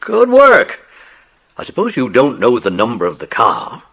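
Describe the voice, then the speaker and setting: An actor playing a brisk, jovial police inspector with a husky voice and a hearty, avuncular manner in a children's audio drama. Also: male voice, medium pitch, huge pitch swings, a bit bright, exaggerated voice, low-quality recording. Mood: hearty, delighted.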